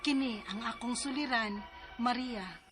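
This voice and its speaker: Woman, high voice, anxious letter writer, soft music behind. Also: unhurried. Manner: worried, pleading. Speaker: letter sender and drama lead. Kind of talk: radio drama and letter reading.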